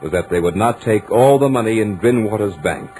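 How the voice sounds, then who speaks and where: An actor playing a self-assured, studious young gentleman narrating his own tale in measured, literate 1940s radio drama style. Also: on the low side, expressive, soft background music, lightly acted, poor audio. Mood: composed, amused.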